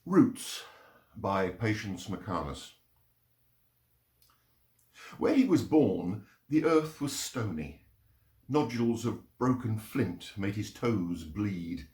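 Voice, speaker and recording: Expressive man reading literature, slow and echoey. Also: on the low side. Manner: measured, expressive storytelling delivery. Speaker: reader of poems and short stories. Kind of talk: literary reading aloud, performed narration. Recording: roomy, echoing sound.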